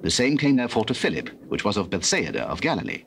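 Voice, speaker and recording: A man's voice: fairly low pitch, wildly swooping pitch, fast, vocal fry, a bit bright, a little hiss or hum. A man narrating a dramatized scripture in a formal, solemn storytelling manner.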